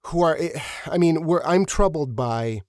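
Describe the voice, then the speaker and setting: A man hosting a conversational interview, speaking earnestly and haltingly, often repeating words as he thinks. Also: on the high side, lively intonation, a little mellow, no echo, clean studio sound. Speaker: podcast host.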